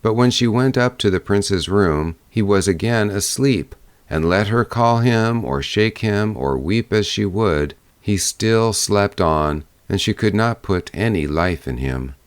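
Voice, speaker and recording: Male audiobook narrator for fairy tales, low register, measured storytelling, dry close sound. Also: lively intonation, smooth, dry recording. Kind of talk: scripted fairy-tale narration.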